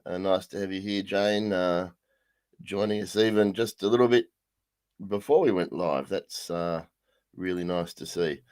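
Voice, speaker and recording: Croaky pastor guy, real low voice, slow and halting, lots of ums. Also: darkish tone. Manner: halting, many ums and restarts. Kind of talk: livestreamed Bible study.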